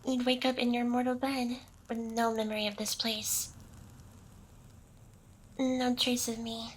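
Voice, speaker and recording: A woman's voice: a little high, little pitch movement, a little slow, low-quality recording. A woman playing an ancient, smug, seductive demon boss in an ASMR roleplay, with an intimate, teasing delivery.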